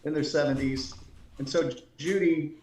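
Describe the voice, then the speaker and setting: A man with a slightly high voice chats casually as a co-host. His phrasing is hesitant and piecemeal, and the recording has a noticeable room echo. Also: fairly even intonation, moderate pace, background noise. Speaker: show co-host and writer. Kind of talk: casual chat, describing characters. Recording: roomy, echoing sound.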